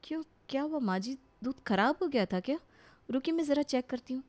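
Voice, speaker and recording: A woman's voice: high voice, expressive, fairly quick, a little mellow. A woman playing a dutiful, put-upon young city daughter-in-law in a cartoon family story, polite, earnest and a little plaintive. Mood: puzzled, hurt. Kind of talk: Hindi animated family story acting.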